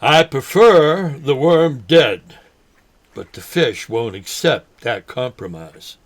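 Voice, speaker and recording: A man playing a forgetful, folksy older man who talks haltingly, in a naturalistic drama. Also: mid-range voice, expressive. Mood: wistful. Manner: halting, folksy, mild exclamations. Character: forgetful old man, folksy, nervous, teasing lifelong fisherman. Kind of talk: naturalistic short film drama acting.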